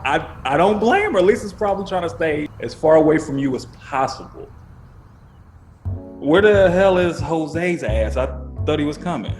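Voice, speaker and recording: A man's voice: a little high, animated, moderate pace, soft background music, lightly acted. A man acting in an audio drama as a blunt, tired cop who needles his friend in colloquial, probing talk.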